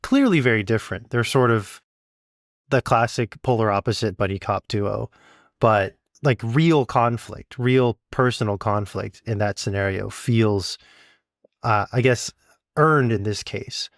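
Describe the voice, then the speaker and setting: A man's voice: on the low side, a little slow, no echo, studio quality. A man working as an interviewer, speaking in a casual, thoughtful and conversational way.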